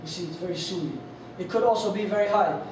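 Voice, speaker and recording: A man's voice: sits high, fast talker, darkish tone. A man giving an informal lesson on recitation styles, casual and rambling with many fillers, and losing his voice. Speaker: instructor on Quran recitation. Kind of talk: informal lesson with sung demonstrations. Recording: echoey hall from far off, faint noise.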